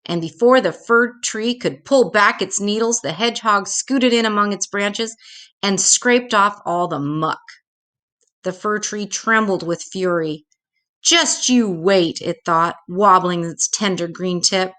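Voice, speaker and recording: A woman reading a children's storybook aloud expressively, with dramatic pauses. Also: medium pitch, fairly bright tone.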